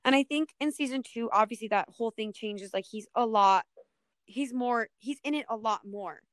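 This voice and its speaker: Young woman, podcast co-host, fairly high pitch, rambling and hesitant, casual chat, very dry sound. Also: normal speed, clear, resonant tone, no room sound. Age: young adult. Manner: hesitant, rambling, heavy use of "like". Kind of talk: casual panel chat about TV.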